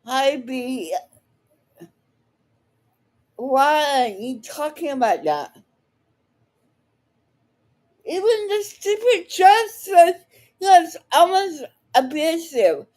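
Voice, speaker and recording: A woman giving blunt, combative unscripted commentary in halting, broken sentences, often swearing. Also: high-pitched, lively intonation, darkish tone. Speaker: online commentator reacting to another speaker. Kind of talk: unscripted live reaction commentary.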